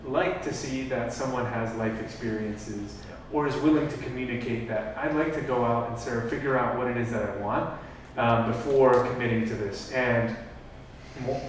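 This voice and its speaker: A man's voice: mid-range voice, a little hiss or hum. Hesitant man announcing in an echoey hall. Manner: loose, hesitant, many fillers. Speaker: event organizer. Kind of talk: announcements to a live audience. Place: large room at a live event. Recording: echoey hall, speaking from far off.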